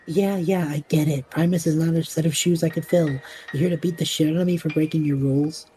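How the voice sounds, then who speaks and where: A woman's voice: low voice, steady tone, brisk, warm tone, a little hiss or hum, close to an everyday voice. A woman playing a brash, sarcastic young wanderer in an audio drama, talking casually. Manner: casual, flippant. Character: brash, sarcastic young wanderer named Trevor, warm toward kids.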